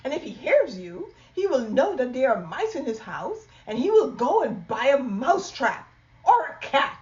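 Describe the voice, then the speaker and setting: A woman's voice: sits high, very animated, normal speed, a little mellow, muffled recording, pitched above the performer's own voice. A woman voicing a cautious mother mouse who warns her children in a firm, sing-song manner. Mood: warning.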